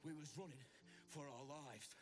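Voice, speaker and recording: An actor playing a desperate, combative human adventurer in a video game, rough and loud over background music. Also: male voice, a little high, moderate pace, lightly acted. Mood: defiant. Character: desperate, brash human adventurer fleeing goblins. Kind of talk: dramatic video game acting.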